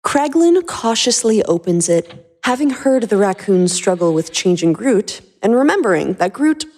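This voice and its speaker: Woman, podcast storyteller, varied intonation, medium pitch, scripted delivery, light music behind. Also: sing-song, normal speed, a little mellow. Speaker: podcast host and narrator. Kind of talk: scripted fan fiction narration and banter.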